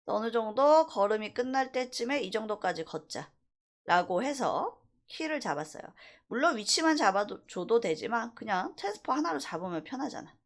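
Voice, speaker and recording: A woman instructor giving a casual software tutorial, talking through each step conversationally and checking in with short rhetorical questions. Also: a little high, lively intonation, normal speed. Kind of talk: casual screen-recorded software tutorial, thinking aloud while demonstrating.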